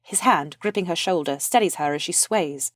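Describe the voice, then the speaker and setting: A woman narrates a play, reading its stage directions in a fairly low voice. The recording is dry and close, with no room around her. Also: normal speed, dry recording.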